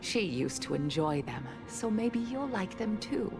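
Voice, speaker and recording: Older townswoman, motherly, gentle, slow, gravelly edge, video game dialogue. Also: medium pitch, sing-song. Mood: gently concerned. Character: kindly older townswoman, motherly family friend. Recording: music behind the voice.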